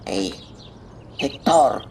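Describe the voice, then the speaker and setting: A man with an Ilocano accent playing a grave older village father figure in a radio drama. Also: extremely slow. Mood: reproving. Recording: distant mic, noisy, birdsong behind.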